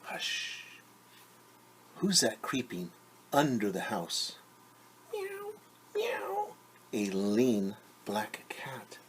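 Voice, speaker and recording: A man reading a picture book aloud to young children as a teacher, with sing-song refrains and hushed, whispered asides. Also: lowish voice, very slow, a little hiss or hum.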